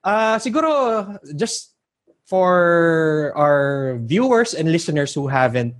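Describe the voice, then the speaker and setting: A man's voice: on the high side, animated, takes it slowly. A man, hosting a casual interview and switching between Tagalog and English. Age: 40s. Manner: mixes Tagalog and English, laughs along. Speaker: podcast host.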